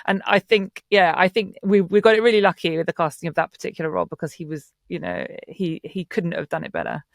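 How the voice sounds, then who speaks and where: A man's voice: sits high, animated, fast, vocal fry, fairly bright tone, dry recording, clean studio sound. A man talking enthusiastically and in a rambling way in a casual panel conversation about his production work. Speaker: audio drama producer discussing casting.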